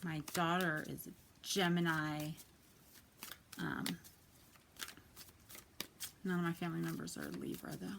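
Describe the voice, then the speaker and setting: A woman in her thirties with a quiet, soft voice, chatting casually as she gives a tarot reading. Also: lowish voice, little pitch movement, measured pace, vocal fry, pauses often, background noise. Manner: chatty, lots of ums and likes. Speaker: tarot reader.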